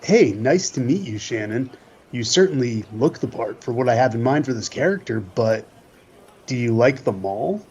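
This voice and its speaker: A man playing a friendly, casual indie filmmaker in a comedic audio play, with a husky voice and slightly dull audio. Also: mid-range voice, lively intonation, moderate pace, darkish tone. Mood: easygoing.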